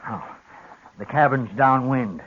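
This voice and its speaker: A man's voice: medium pitch, slight background noise, lightly acted. Man playing a crusty, stubborn old rancher. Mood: stubborn resolve. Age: older. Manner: slow, plainspoken, resolute. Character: crusty, stubborn old male rancher and tracker, grimly determined. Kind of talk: 1950s radio western acting. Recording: dull vintage radio recording.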